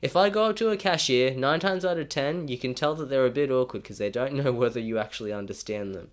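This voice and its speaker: Young aussie guy telling a storytime, dry self-roasting jokes, voice jumps up high for punchlines, middle pitch. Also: fast talker. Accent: Australian. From Australia. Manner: wry, self-deprecating, with big swings in pitch for jokes. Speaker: animated storytime narrator. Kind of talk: scripted comic narration.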